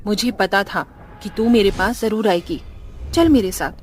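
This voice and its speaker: A woman's voice: medium pitch, normal speed, a little hiss or hum, close to an everyday voice. A woman voicing an earnest, emotional young village sister in a horror story, with dramatic delivery. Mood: hopeful. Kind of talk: Hindi horror story acting. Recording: music behind.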